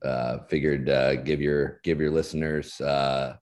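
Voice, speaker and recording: A man's voice: rumbling low, little pitch movement, measured pace, a little mellow. A middle-aged man, a guest on a podcast, chatting in a laid-back, self-deprecating way with many fillers. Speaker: guest, insurance executive. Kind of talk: casual interview chat.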